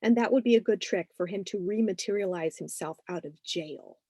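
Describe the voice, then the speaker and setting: A woman playing a good-humoured shop owner in her thirties, brisk, wry and encouraging, in a story reading. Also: medium pitch, dark tone, no room sound, lightly acted. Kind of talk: audiobook-style character reading.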